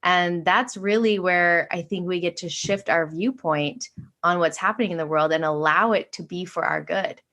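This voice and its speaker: A woman with a fairly low voice talks earnestly about spiritual awakening. She speaks in long flowing runs and often fills with "like". It is a casual, heartfelt conversation. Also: normal speed, pushed and bright. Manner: earnest, flowing, many "like" fillers. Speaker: podcast guest, spiritual teacher.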